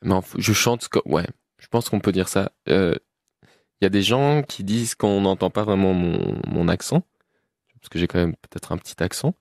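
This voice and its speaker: A man in his twenties from Belgium, a musician guest chatting casually in an interview, relaxed with frequent laughs and fillers. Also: low voice, normal speed, no room sound, clean studio sound. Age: 20s.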